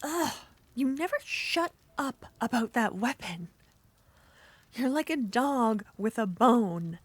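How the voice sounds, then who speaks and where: A woman performing an ASMR roleplay as a jealous, possessive witch, speaking softly and often whispering. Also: on the high side, animated, slow, slight background noise. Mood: flirty.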